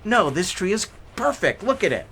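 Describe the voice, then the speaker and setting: A woman's voice: mid-range voice, big pitch swings, normal speed, fairly bright tone, slight background noise, pitched above the performer's own voice. A man voicing an exasperated, practical mother who insists on her own choice. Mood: insistent. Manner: insistent, bossy.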